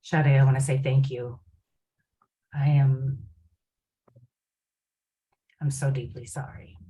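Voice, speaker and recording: A woman moderating a panel discussion, asking questions in a polite, measured way. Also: a bass voice, little pitch movement, mellow.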